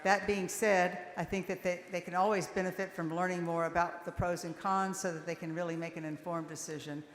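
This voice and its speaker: A woman's voice: fairly low pitch, fairly even intonation, fairly quick. A woman state representative speaking in floor debate in an earnest, concerned way, with some stammering. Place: large legislative chamber. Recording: reverberant chamber.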